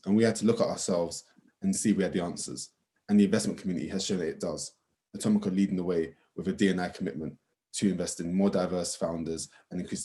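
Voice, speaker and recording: A man giving measured, earnest remarks as an investor on a panel. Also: low-pitched, mellow. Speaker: angel investor, panel guest.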